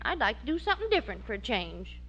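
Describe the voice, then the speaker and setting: Casting: long-suffering sitcom wife, high, animated voice, vintage radio comedy. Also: female voice, quick pace, muffled recording, full character voice. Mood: exasperated. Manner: coaxing, exasperated with her husband. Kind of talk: 1940s radio sitcom acting.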